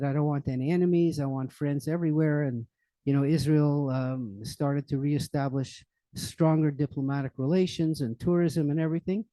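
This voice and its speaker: An older man speaks in a loose, rambling lecture manner, full of fillers and restarts. His voice sits a little high and sounds mellow. The sound is dry and close. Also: no room sound, low-quality recording. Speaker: lecturer on current events. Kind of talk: informal public library talk. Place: library meeting room.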